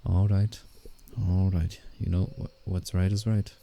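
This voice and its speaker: A man playing a guarded, teasing but soft-hearted werewolf in a scripted roleplay ASMR drama, speaking in a measured, reassuring way. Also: low voice, little pitch movement, gravelly. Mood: coaxing, sly. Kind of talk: roleplay ASMR, scripted character acting with inner monologue.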